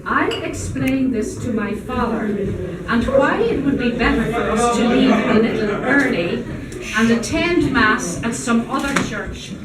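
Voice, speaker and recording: A woman reading a literary story aloud at a live event, in a measured, deliberate storytelling manner. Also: on the high side, expressive, a loud crowd. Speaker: reader at a public event. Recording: echoey room, distant mic, noisy background.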